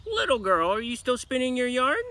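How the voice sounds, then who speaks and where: A loud, shrill old witch , as a male storyteller puts her on, bossy and suspicious. Her calls ring out high and hard. The live recording carries clear background noise. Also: very animated, normal speed, exaggerated voice. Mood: calling. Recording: noisy live room recording.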